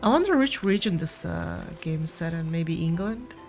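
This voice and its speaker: Woman streamer with a cold, lowish voice, rambly game chat, faint music behind. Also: expressive, moderate pace, vocal fry, darkish tone. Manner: rambling, self-correcting, talks to viewers. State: sick with a cold. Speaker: gameplay streamer. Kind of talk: casual gameplay commentary.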